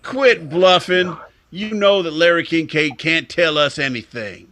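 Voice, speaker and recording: A man's voice: fairly high pitch, measured pace, bright, forceful tone. A man playing a blunt, folksy cowboy in a radio western, with faint noise on the recording. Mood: blunt, accusing. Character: plain-spoken cowboy testifying against a prisoner.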